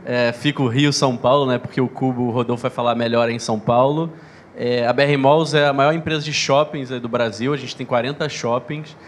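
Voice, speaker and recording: Male panelist, Carioca accent, flat delivery, faint ambience behind. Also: mid-range voice, brisk, a little hiss or hum. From Rio de Janeiro, Brazil. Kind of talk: conference panel introduction.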